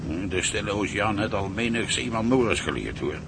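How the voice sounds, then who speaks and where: A fatherly ship's cook in a radio drama. He talks in a folksy, soothing manner with a pitch that swings freely, over clear rumbling noise. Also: expressive, brisk. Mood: comforting. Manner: folksy, reassuring, chuckling. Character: kindly, fatherly old-hand ship's cook. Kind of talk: Dutch radio drama acting.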